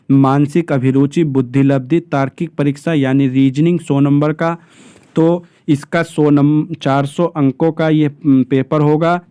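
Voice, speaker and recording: Male explainer, slightly high pitch, close dry sound, formal and measured when reading out details. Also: warm tone, clear, resonant tone, no room sound. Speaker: exam-prep presenter explaining job notices. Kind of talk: informational explainer, partly reading from a notice.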